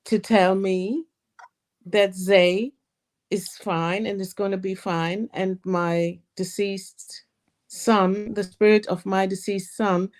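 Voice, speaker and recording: A woman from Cuba, calling in to offer thanks in a halting way with many fillers. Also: mid-range voice, fairly even intonation, a little mellow. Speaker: caller. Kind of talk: call-in thanks and chat.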